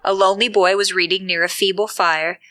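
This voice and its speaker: A woman narrator with a bright, crisp-edged tone and medium pitch. She reads scripted storybook narration for an audio drama. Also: fairly even intonation, a little fast.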